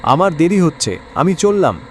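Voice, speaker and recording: A man voicing a village father who gives brief, hurried replies on his way to the fields. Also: fairly high pitch, very animated, a little hiss or hum.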